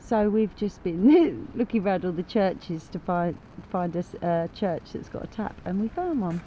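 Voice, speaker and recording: A woman's voice: lowish voice, expressive, normal speed, warm tone, lo-fi sound, slight background noise. A woman in her thirties chatting playfully in a casual travel vlog, filmed in a campervan and outdoors on a camera mic. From England. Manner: chatty and playful, often laughing. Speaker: vlogger. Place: in a campervan and out in woods and dunes. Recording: music playing underneath.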